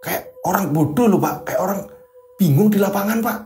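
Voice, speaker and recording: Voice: mid-range voice, normal speed, a little mellow, close to an everyday voice. Storyteller as a timid young footballer. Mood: anxious. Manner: timid, pleading. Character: young male footballer, anxious and hurting. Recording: roomy sound with faint music.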